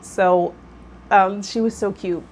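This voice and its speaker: A woman's voice: mid-range voice, fairly even intonation, slow, a little mellow, rough recording. A woman hosting a personal vlog, talking casually in a rambling, self-effacing way. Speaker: host of a tarot vlog. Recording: clear background noise.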